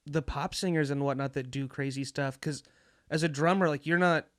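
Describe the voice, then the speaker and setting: A man's voice: on the high side, fairly even intonation, normal speed, fairly bright tone, no room sound, clean studio sound. A young man talking casually and conversationally on a podcast.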